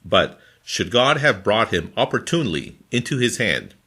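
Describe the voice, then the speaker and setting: A man reading scripture from a formal translation in a deliberate, phrase-by-phrase manner. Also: fairly low pitch, very animated, sharp tone. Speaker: scripture reader.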